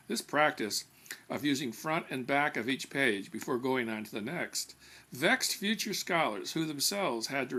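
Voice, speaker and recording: A man reading his own comic fiction aloud as an author, with animated, emphatic storytelling. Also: medium pitch, creaky, slightly rough. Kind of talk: expressive scripted narration of comic fiction.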